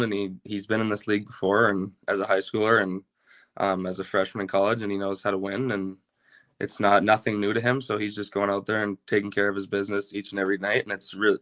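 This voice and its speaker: Young guy baseball coach chatting in an interview, slightly low voice, dry sound. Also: a bit bright, dry recording. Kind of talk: casual interview chat.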